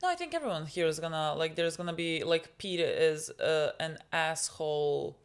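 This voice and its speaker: A woman's voice: deep voice, lively intonation, normal speed. A young woman whose first language is Serbian, co-hosting a TV reaction and chatting casually with many fillers and restarts. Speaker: reaction video co-host. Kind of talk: casual chat.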